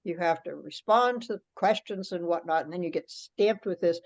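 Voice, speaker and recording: A woman's voice: on the low side, moderate pace, darkish tone. A woman giving an explanatory, conversational presentation over a teleconference. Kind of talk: informal spoken presentation on a teleconference. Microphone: teleconference call. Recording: dull lo-fi conference call audio.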